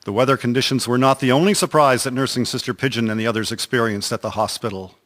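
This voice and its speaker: A man narrating a scripted historical radio drama in a formal, measured storytelling manner. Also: medium pitch, steady tone, a bit bright, dry recording, clean studio sound. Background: prominent plucked guitar music.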